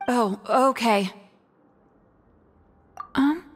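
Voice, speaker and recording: A woman's voice: fairly high pitch, extremely slow, a little hiss or hum. A woman playing a timid, apologetic and reluctant young video game character who speaks hesitantly. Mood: uneasy.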